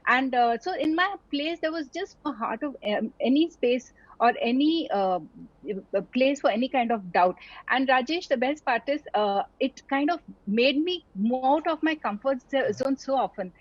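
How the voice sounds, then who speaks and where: A woman's voice: sits high, lively intonation, normal speed, no echo. A middle-aged woman from India, a doctor, talking earnestly as an interview guest, with many fillers and restarts. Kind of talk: reflective interview conversation. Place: online town hall talk. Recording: dull, lo-fi audio.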